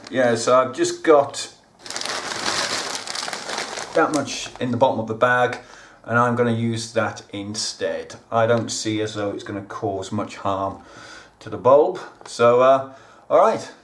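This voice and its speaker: A man's voice: mid-range voice, slight background noise. Chatty man showing plants. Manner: chatty, plain-spoken, talks to viewers directly. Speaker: gardening channel host. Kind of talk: casual how-to vlog. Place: indoors at home, kitchen counter.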